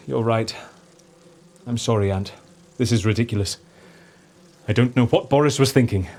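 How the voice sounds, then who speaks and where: An actor playing a witty, pompous prisoner in a comedic audio drama, theatrical and sarcastically polite. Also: male voice, mid-range voice, measured pace, a little mellow, close to an everyday voice. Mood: resigned. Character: witty, pompous, exasperated male prisoner and schemer.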